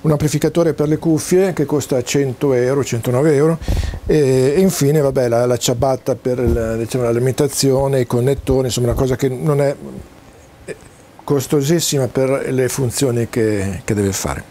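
A man gives an informal, halting technical explanation to camera, pausing often as he searches for words. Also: medium pitch, dark tone, slight background noise. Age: 60s. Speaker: presenter demonstrating home-built audio and video gear. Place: small cluttered home study, indoors.

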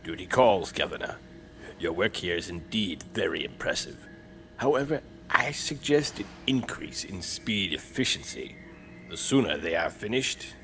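A man playing a menacing, suspicious old galactic Emperor in a sci-fi audio drama, with drawn-out breathy menace. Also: deep voice, expressive, a bit bright. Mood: cold, threatening. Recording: prominent film music behind the voice.

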